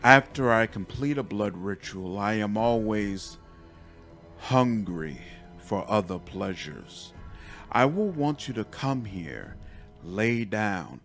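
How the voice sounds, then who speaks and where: A man voicing a seductive, bloodthirsty shaman woman in a fantasy game, with slow, hushed, composed delivery. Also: lowish voice, expressive, soft background music, heavily acted. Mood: coldly seductive. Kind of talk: dramatic fantasy game dialogue.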